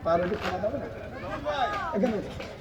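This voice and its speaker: A man's voice: high voice, fairly quick, very noisy. A man with a Bangladeshi Bengali accent hosting a live folk puppet show with coaxing, repetitive banter. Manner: coaxing, repetitive calls to audience and children. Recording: loud live music and crowd, echoey venue. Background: tabla percussion and crowd.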